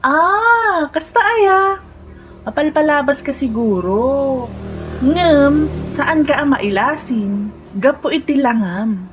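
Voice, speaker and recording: High-voiced, nosy Ilocano village gossip played by a woman. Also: animated, takes it slowly, a little hiss or hum. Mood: inquisitive. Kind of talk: Ilocano radio comedy-drama acting.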